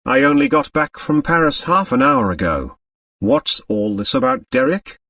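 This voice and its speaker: A man's voice: a little high, wildly swooping pitch, normal speed, gravelly, bright, forceful tone, no echo, studio quality, distant mic, exaggerated voice. A man voicing a hard, proud American millionaire who dotes on his daughter, in an audiobook. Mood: brisk.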